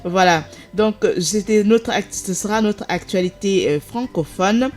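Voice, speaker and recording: A woman presenting a live radio show, talking briskly and chattily and sometimes correcting herself. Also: mid-range voice, a little mellow, soft background music.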